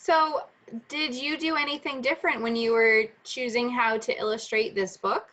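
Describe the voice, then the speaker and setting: A woman with a high-pitched voice moderates a virtual event. She asks her guest questions in a casual, conversational style. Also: steady tone, moderate pace, a bit bright, lo-fi sound.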